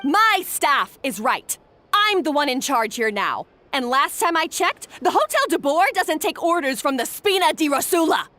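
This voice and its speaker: A domineering, sharp-tongued head chef. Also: female voice, high voice, big pitch swings, normal speed, exaggerated voice. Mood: contemptuous. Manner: scolding, contemptuous. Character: harsh bossy female head chef, domineering. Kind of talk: game voice acting.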